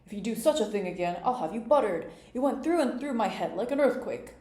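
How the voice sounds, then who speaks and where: Fussy, self-important storybook king, played by a woman reader, mellow but querulous, in a reverberant room. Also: fairly low pitch, moderate pace, natural voice. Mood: impatient, alarmed. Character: fussy, impatient, easily alarmed chess king. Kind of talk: character voice in storybook reading.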